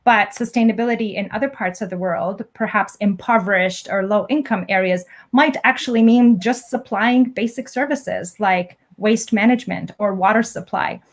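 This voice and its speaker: Articulate woman explaining, measured. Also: mid-range voice. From Egypt. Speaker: guest, engineering academic. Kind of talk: interview answers.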